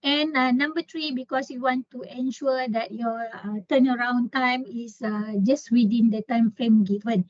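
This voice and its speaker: A woman explaining a work procedure in an informal briefing, halting often as she searches for words. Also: on the high side, no room sound, lo-fi sound. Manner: halting, explanatory, with frequent hesitations. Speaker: trainer presenting a staff procedure.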